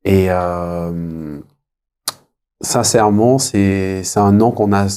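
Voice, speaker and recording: A man in his thirties speaks in a low, very mellow voice. He is an interview guest from French Guiana. He talks reflectively and conversationally, often pausing to find his words. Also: fairly even intonation, clear, resonant tone. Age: 30s.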